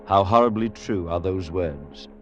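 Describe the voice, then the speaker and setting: A reflective priest narrator. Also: male voice, low-pitched. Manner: measured, reflective, gently amused. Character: thoughtful male priest narrator. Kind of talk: 1940s radio acting. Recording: dull old radio broadcast with faint music.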